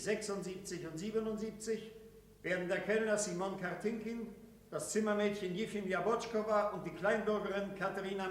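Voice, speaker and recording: A distant, very echoey man's voice in an old radio drama. A man acts a stern court official who declaims a sentence formally, pitched high. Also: natural voice. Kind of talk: 1960s German radio drama acting. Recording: very echoey hall, distant from microphone.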